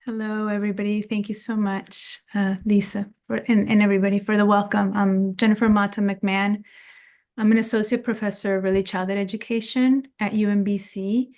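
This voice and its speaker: Woman from Venezuela, professor, video call, gravelly tone, monotone delivery, halting speech. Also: mid-range voice. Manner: hesitant, many filler sounds. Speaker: associate professor of early childhood education. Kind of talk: self-introduction at a video meeting.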